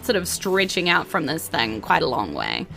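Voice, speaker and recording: Bright-voiced New woman running a game. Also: low-pitched, huge pitch swings, normal speed, vocal fry, faint music behind. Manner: informal, giggly, conversational. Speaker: game master of a tabletop role-playing podcast. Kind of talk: casual improvised game narration.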